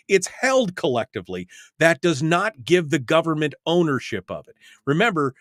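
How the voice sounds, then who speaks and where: A blunt American radio host with a slightly high male voice, recorded close and dry. He talks in an opinionated, emphatic call-in style and likes to hammer a point by repeating it. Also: no room sound. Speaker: radio talk show host.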